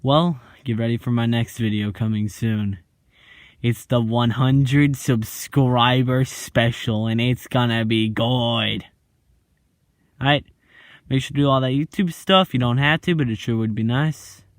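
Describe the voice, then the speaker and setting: A man hosting his own online video channel, explaining horror videos in a relaxed, jokey way and reading on-screen text aloud. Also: mid-range voice, measured pace, dry recording, clean studio sound. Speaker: YouTube host. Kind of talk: casual video commentary.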